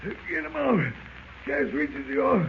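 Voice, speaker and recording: An actor playing a dazed, frightened night watchman, gasping out halting phrases in a strained voice, 1940s radio serial style. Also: big pitch swings, exaggerated voice. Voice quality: creaky, strained, high. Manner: halting, breathless, broken phrases. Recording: dull, noisy old radio recording.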